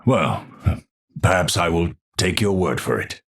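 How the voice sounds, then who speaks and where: An actor playing an eccentric, unstable scientist in a video game, with a Dutch accent, husky voice and theatrical, formal scientific phrasing. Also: male voice, sits low, expressive, unhurried, heavily acted. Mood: polite, musing. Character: eccentric, unstable male scientist, lofty and absent-minded. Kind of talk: video game character voice lines.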